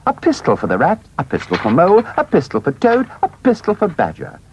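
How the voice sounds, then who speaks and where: A man's voice: a little high, wildly swooping pitch, a little fast, dull audio, slight background noise, voice pushed up. Man doing a fussy, eager little rat. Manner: fussy, correcting. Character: fussy anxious male water rat.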